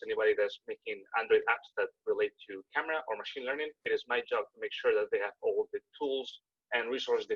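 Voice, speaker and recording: A man, a software engineer, introducing himself as a panelist in a friendly, easygoing way over muffled video-call audio. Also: lowish voice, husky, dry recording.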